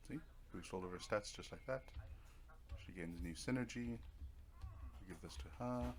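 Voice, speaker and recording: A man's voice: on the low side, normal speed. A man giving casual let's play commentary on a video game, explaining tactics step by step in an offhand way.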